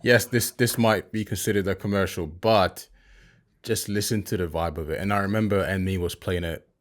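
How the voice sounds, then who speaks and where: A man's voice: deep voice, fairly even intonation, normal speed. A man hosting a casual interview, friendly and informal, calling his guest bro. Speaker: host.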